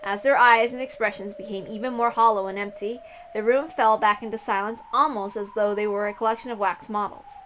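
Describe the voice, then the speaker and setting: A woman reading a visual novel aloud, with expressive narration and casual asides. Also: mid-range voice, brisk, a little hiss or hum.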